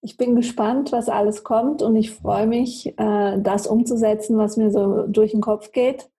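A woman from Switzerland, a native Swiss German speaker, speaking conversationally as an interview guest with a husky voice. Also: mid-range voice, steady tone, unhurried, mellow. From Switzerland, grew up in Zurich. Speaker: podcast guest. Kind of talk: conversational life-story interview.

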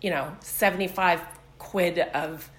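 A woman's voice: on the low side, fairly even intonation, measured pace. A middle-aged woman chatting casually as a guest, emphatic and humorously exasperated. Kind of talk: casual chat.

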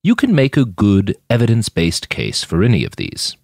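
A man reading as an animated male history teacher. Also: fairly low pitch, moderate pace, vocal fry, slightly rough, dry recording, clean studio sound, exaggerated voice. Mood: measured, didactic. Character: earnest male history teacher lecturing a class.

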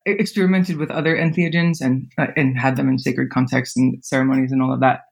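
A woman's voice: very deep voice, steady tone, brisk, vocal fry, a little mellow, husky. A woman in her thirties, a podcast guest telling personal stories casually, with frequent fillers and laughter. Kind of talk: casual storytelling interview.

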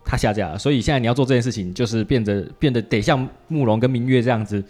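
A man's voice: medium pitch, fast, a little mellow, faint music behind. A man with a Taiwanese Mandarin accent hosting a casual podcast chat in an informal, joking way, often repeating short interjections. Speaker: podcast host.

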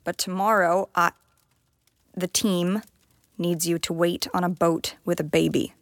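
A woman's voice: on the low side, little pitch movement, a little slow, no echo, studio quality. An actress playing a tough, sardonic, foul-mouthed young woman who leads a team in a fantasy audio drama. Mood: firm, reassuring. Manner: blunt, sardonic.

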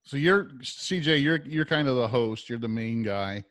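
A man's voice: mid-range voice, expressive, normal speed, fairly bright tone, no room sound. A man acting as interviewer in a casual, conversational chat.

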